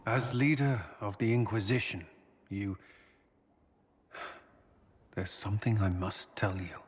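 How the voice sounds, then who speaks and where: Game military commander: serious, duty-bound man, low husky voice, reverberant space. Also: takes it slowly. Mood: solemn, hesitant. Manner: serious, earnest, military briefing. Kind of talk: video game character dialogue.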